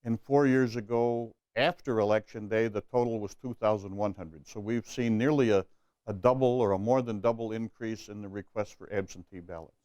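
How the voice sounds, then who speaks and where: Clear, low-voiced man, dull dry recording. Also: steady tone, normal speed, a little mellow, no echo. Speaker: election official being interviewed. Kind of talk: unscripted interview answers.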